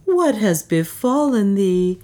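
A woman's voice: on the low side, slow, dark tone. A woman reading a story, voicing an anguished, tender young woman who pleads in impassioned, archaic speech. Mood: shocked, pleading. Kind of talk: dramatic audiobook storytelling.

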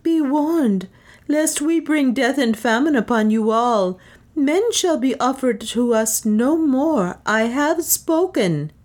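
Reader as an imperious priestess, raised commanding voice. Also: female voice, high voice, lively intonation, a little mellow, voice pushed up, full character voice. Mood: stern warning. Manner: commanding, declamatory, measured. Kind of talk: theatrical audiobook character speech.

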